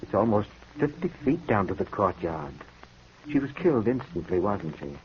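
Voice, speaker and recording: An actor playing a brooding, soft-spoken brother with a secretly sinister streak, in theatrical, measured 1950s radio drama style. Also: male voice, lowish voice, big pitch swings. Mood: quietly insinuating. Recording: noisy, dull vintage radio recording.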